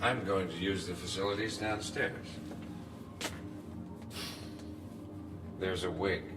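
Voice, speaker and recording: A man's voice: low voice, fairly even intonation, moderate pace, music in the background, lightly acted. A man acting a cool, menacing secret agent with a sentimental streak in a radio drama, terse and dry. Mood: guarded. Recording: clear background noise.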